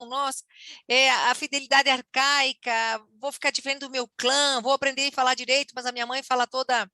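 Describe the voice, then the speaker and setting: A woman's voice: high-pitched, pushed and bright, no room sound. A woman, hosting the conversation and explaining ideas in a teacherly way, often checking in with "né?". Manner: teacherly, frequent "né?" tags.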